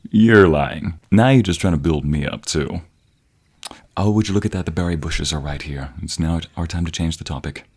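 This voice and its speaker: A man's voice: sits low, normal speed, a little mellow, breathy edge. A young man playing a devoted, teasing boyfriend in a romantic audio roleplay, murmuring affectionately close to the microphone. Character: playful, devoted young boyfriend, a villager with a secret. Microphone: close binaural microphone.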